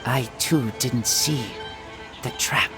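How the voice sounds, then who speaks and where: An actor voicing an earnest, feral teenage boy raised by wolves in a video game, blunt and halting in broken phrases. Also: mid-range voice, over music, close to an everyday voice. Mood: earnest, grateful.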